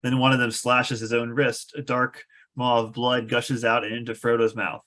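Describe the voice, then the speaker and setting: A man's voice: medium pitch, level tone, normal speed, sharp tone. A man reading stage directions aloud as narrator of a fantasy screenplay table read.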